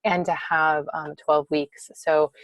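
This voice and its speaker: A woman's voice: sits low, little pitch movement, slow, fairly bright tone. A woman state representative explaining a bill at an online town hall, often restarting and correcting herself.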